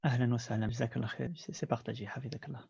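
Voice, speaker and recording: A man from Belgium in his thirties talks as an imam guest, pausing often with fillers and restarting his sentences. His voice is gravelly and mellow, recorded dry with a dull, lo-fi sound. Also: mid-range voice, fairly even intonation, no echo. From Belgium, Moroccan family. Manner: hesitant, many fillers and restarts. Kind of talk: conversational interview.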